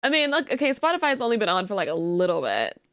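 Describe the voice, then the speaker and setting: A woman's voice: mid-range voice, very animated, fast, fairly bright tone, no echo, clean studio sound. A woman streaming live who says she just woke up and has a sleepy voice, chatting casually and thanking her viewers.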